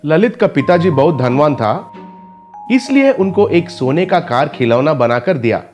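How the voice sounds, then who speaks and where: Male narrator for children's animated tales, scripted storytelling over a light music bed. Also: on the high side, measured pace, dull audio.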